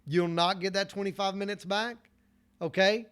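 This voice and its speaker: A man with a fairly high voice gives a motivational talk to listeners. He speaks earnestly and directly, circling back over his phrases with a preacher-like rhythm. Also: steady tone, moderate pace, fairly bright tone, smooth. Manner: repeats phrases for emphasis, preacher-like cadence.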